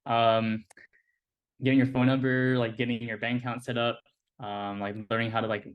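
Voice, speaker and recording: A young American man in his twenties, a guest on an interview podcast, talking in a relaxed, casual way with lots of "like" and "you know". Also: mid-range voice, moderate pace, smooth, phone-quality sound. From United States. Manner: relaxed, filler-heavy speech with many "like" and "you know".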